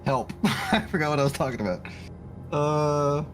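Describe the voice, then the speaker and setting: A man's voice: on the high side, moderate pace. A man chatting casually on a livestream, rambling and giggly as he works on his art. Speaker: streamer drawing art live. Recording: music playing behind the voice. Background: music.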